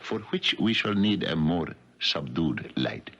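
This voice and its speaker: A man's voice: very deep voice, big pitch swings, unhurried, a bit bright, husky, full character voice. An actor playing a polite, mysterious psychic guru in a 1970s radio drama, speaking in formal, ceremonious showman patter. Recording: dull, hissy 1970s radio recording.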